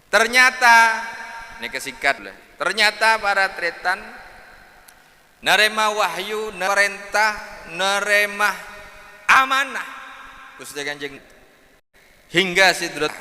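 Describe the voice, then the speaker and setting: A man giving a sing-song, lively religious lecture at a mosque event. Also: sits high, in a large hall. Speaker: preacher.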